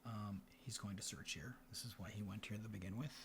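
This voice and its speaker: A man hosting a board game in ASMR style, mixing soft speech and whispers as he murmurs an unhurried play-by-play. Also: on the low side, steady tone. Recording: clear background noise. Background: room ambience, board game handling sounds.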